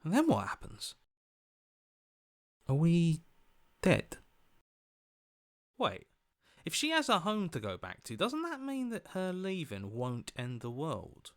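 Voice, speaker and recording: An actor playing an anxious, earnest heroic inner voice in a video game, questioning things in a hesitant, worried way. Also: male voice, fairly high pitch, huge pitch swings, slow pace, long pauses, full character voice. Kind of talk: video game character acting.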